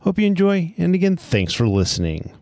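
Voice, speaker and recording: A man's voice: high voice, very animated, a little fast, vocal fry, a little mellow, no echo. A man hosting a show, reading a scripted introduction close to the microphone. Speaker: podcast host.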